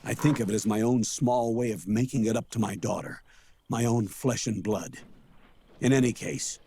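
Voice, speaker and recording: An actor playing a weary, defensive older colonel in a video game, husky and measured in delivery. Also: male voice, mid-range voice, dark tone. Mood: earnest, defensive. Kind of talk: dramatic video game voice acting.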